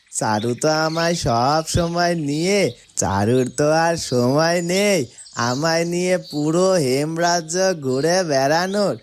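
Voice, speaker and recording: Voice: medium pitch, a little hiss or hum, natural voice. An actor voicing a friendly, slightly plaintive talking animal companion in a children's fairytale cartoon, chummy and earnest.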